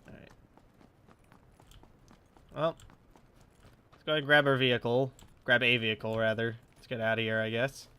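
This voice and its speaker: Man, bright forceful voice, slightly congested, casual gaming commentary, rambling, swearing, thinking out loud. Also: mid-range voice, fairly even intonation, moderate pace, long pauses, clear, resonant tone. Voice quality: slightly stuffed-up nose. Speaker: gaming YouTuber. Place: his room at home.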